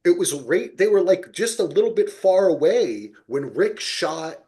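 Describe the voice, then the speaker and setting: Animated man in casual podcast chat. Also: sits high, measured pace. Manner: emphatic, swearing, acts out imagined reactions. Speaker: podcast host.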